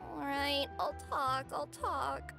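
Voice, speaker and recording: A woman's voice: high voice, slow pace, vocal fry, over music, exaggerated voice. A woman voicing an earnest young female cat yokai courier who is flustered and eager to please, in an anime-style video game. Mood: reluctant. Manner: flustered, hesitant, apologetic.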